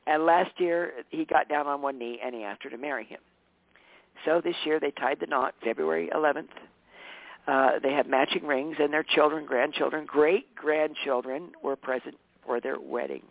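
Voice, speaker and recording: A man with a tired, hoarse voice, a regular guest on a casual talk show, chatting with warmth, laughing often and correcting himself as he goes. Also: fairly high pitch, normal speed, no echo. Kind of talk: casual radio show chat. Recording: dry, phone-line sound.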